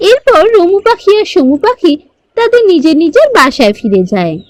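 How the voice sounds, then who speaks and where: A woman's voice: extremely high pitch, wildly swooping pitch, normal speed, a little mellow, dry recording. A woman narrating a children's fairy tale cartoon in a scripted storytelling style.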